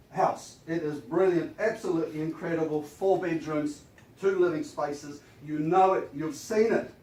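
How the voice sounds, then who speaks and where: A croaky-voiced New Zealand man runs an auction, rattling through the formal terms and then talking up the house with eager sales patter. His voice sits a little high. It comes from some distance in an echoey room with faint noise behind it. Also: darkish tone. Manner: brisk, formal, then enthusiastic selling.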